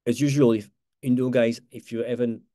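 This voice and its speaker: A man in his forties with a French accent, hosting a live online workshop, chatty and quick, correcting himself as he goes. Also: mid-range voice, dark tone. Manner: fast, chatty, self-correcting, with quick laughs. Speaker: workshop host.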